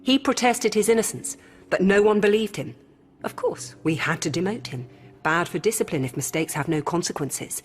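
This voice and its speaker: A woman playing a calm, rational robot hybrid in human guise, speaking in measured, formal, didactic lines in a radio drama. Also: lowish voice, lightly acted. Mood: matter-of-fact. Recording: music behind.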